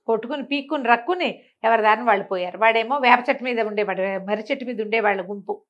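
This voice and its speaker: A woman with a Telugu accent telling a folk story in a colloquial, animated way, acting out the dialogue. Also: fairly high pitch, quick pace, highs cut off.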